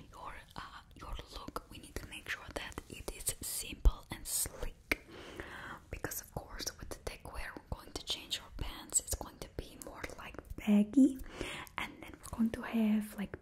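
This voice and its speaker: Young woman, faked Russian accent, soft close whisper, very airy and mellow, unhurried. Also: a little high, slightly rough. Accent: put-on Russian. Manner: close, slow whispering with drawn-out words. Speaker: ASMR roleplay stylist. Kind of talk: ASMR whispered roleplay. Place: indoors before a studio backdrop.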